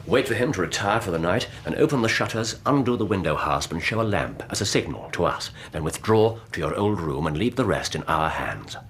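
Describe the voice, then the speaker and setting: An actor playing an incisive, theatrical Victorian detective with an English accent and precise, formal, dryly authoritative speech in a radio drama. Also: male voice, sits low, lively intonation, fairly quick, hardly any pauses, fairly bright tone, slight background noise. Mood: urgent, commanding.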